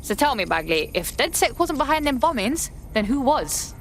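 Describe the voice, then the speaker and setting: An actress playing a defiant, slangy young recruit with a London accent in a video game. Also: female voice, high-pitched, sing-song, normal speed, a little hiss or hum. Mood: challenging. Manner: slangy, guarded, blunt.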